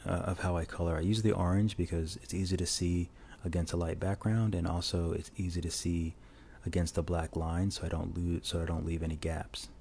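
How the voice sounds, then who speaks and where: Deep voiced guy narrating his drawing tutorial, laid back and rambly, lav mic on a phone, bit of hiss. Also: brisk, gravelly, few pauses. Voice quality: low voice. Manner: rambling, relaxed, many restarts. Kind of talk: casual voice-over while drawing. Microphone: lavalier mic plugged into a phone.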